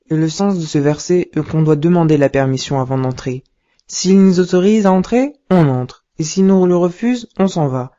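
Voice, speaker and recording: A woman voicing a patient, wise father who gently explains things to his son in a cartoon, on dull lo-fi audio. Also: fairly low pitch, lightly acted.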